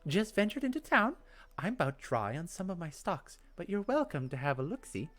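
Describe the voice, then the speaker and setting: Folksy young village woman herbalist for a fantasy game, acted by a man, voice pushed up high, friendly yet guarded, rustic speech. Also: sing-song, normal speed, fairly bright tone, soft background music. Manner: rustic, colloquial turns of phrase.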